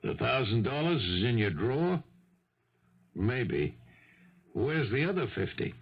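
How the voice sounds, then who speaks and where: An actor playing a dogged police lieutenant in a 1970s radio drama, questioning a suspect in a deliberate, accusatory manner. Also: male voice, medium pitch, big pitch swings, slightly rough, exaggerated voice. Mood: accusing. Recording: muffled 1970s radio broadcast.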